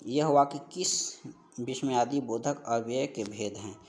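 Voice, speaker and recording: A man's voice: medium pitch, measured pace, darkish tone, a little hiss or hum. A man teaching a grammar lesson for exam preparation, instructive and repeating each question and answer for emphasis. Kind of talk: lesson going through practice questions.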